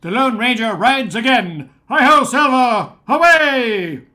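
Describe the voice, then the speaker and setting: A woman's voice: on the high side, lively intonation, slow pace, bright, forceful tone, a little hiss or hum, full character voice. A woman playing a dramatic, rousing announcer of an old-time radio western.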